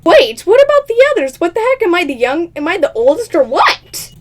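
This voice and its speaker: Brief: high-voiced woman reading a game aloud, chatty and excitable, stumbles over words, laughs at herself. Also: fairly quick. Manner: laughs often, talks at herself. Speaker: gaming channel host.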